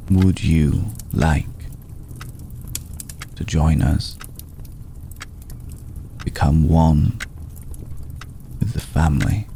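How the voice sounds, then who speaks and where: A man playing a courteous, brooding old vampire lord in an intimate ASMR roleplay, speaking in a measured, solemn, deliberate way with long pauses. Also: warm tone, slight background noise, heavily acted. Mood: solemn, inviting. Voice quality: deep, gravelly. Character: courteous, brooding centuries-old male vampire lord, tender toward a mortal.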